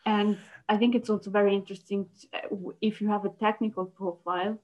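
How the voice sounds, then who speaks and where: A young woman answers interview questions in a thoughtful, halting way. She often restarts her sentences. Her voice sits at a middle pitch and comes through slightly muffled, lo-fi call audio. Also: little pitch movement, darkish tone. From Italy. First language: Italian. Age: young adult. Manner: thoughtful, halting, with frequent restarts and light laughs. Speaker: guest, localization project manager.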